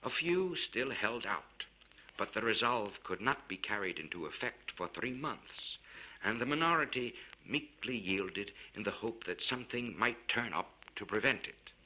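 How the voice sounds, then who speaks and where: Male narrator, very bright forceful voice, lively pitch swings, measured theatrical delivery, dramatic literary reading, vintage LP recording. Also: poor audio. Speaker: audiobook narrator. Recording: vintage spoken-word LP record.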